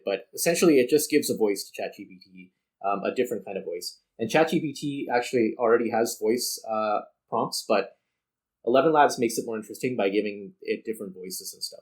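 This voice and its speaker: A man's voice: lowish voice, low-quality recording. A man hosting a gaming video, giving casual unscripted commentary and explaining things directly to the viewers.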